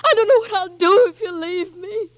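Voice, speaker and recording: A woman's voice: very high-pitched, normal speed. An actress playing a devoted young wife who pleads tenderly with her blinded Marine husband, in 1940s radio-drama style. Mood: pleading. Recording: dull old radio broadcast.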